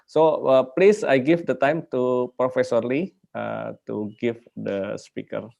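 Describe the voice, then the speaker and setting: A man hosting a university webinar, introducing the guest speaker over a video call in a hesitant, halting way with many fillers. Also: medium pitch, fairly even intonation, gravelly, darkish tone, highs cut off. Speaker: webinar moderator, university host.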